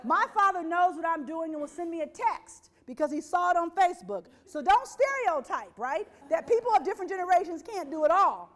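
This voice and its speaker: A woman with a high voice gives a talk to a room of listeners. She builds her points through repetition and direct questions to the audience, and drops into quick impressions of the people she quotes. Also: lively intonation, fairly bright tone. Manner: rhetorical, repeats phrases, asks the audience questions. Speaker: speaker on workplace diversity. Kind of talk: presentation to an audience.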